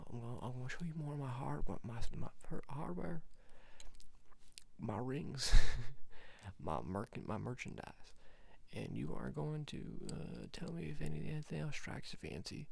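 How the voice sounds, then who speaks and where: Quirky, coaxing male salesman played by a man for ASMR roleplay; heavy vocal fry, lively swings in pitch, halting murmured patter. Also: heavily acted. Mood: friendly, coaxing. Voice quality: whispery, breathy murmur with gravelly fry. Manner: halting, repeats and trails off playfully. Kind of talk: ASMR roleplay, soft whispering.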